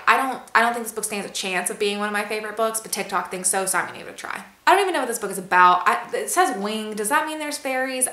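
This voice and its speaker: A woman's voice: mid-range voice, echoey room. A woman giving a casual, chatty book review vlog, candid and prone to exaggeration as she interrupts herself.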